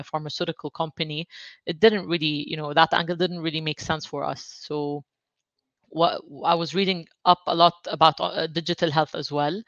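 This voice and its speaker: A woman's voice: deep voice, a bit bright, dry recording. Woman, from Jordan, fund director, interview answers, level delivery, dull lo-fi sound. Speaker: venture fund managing director, guest. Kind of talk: interview answers about her career.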